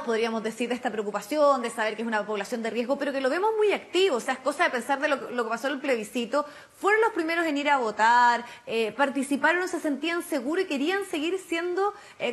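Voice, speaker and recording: A woman's voice: high-pitched, fairly bright tone. A woman in her thirties interviewing a guest on a television news program from home, asking long, winding questions. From Chile. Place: home room.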